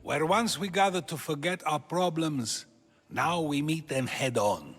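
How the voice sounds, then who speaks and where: A calm older philosopher from a video game, measured and searching in his questions. His voice rises and falls with lively inflection. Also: on the high side, breathy edge, soft background music, full character voice.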